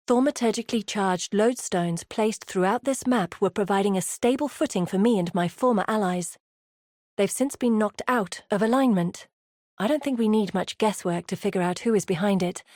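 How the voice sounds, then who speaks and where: An actress playing a determined, wary exiled woman researcher in a video game, speaking in a measured, earnest, purposeful way. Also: mid-range voice, darkish tone, dry recording, clean studio sound, natural voice. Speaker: video game quest-giver character. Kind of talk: scripted video game voice acting.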